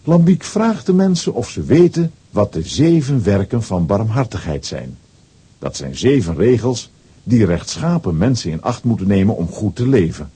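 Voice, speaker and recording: A man's voice: on the low side, very animated, normal speed, warm tone, husky. A man narrating a children's radio play in a scripted storytelling style.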